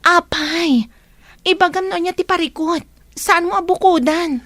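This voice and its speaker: A woman's voice: very high-pitched, unhurried, a bit bright, no echo. A woman playing an anxious young wife who longs for a child in a radio drama, speaking emotionally with an Ilocano accent. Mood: pleading, concerned. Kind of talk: Filipino radio drama acting.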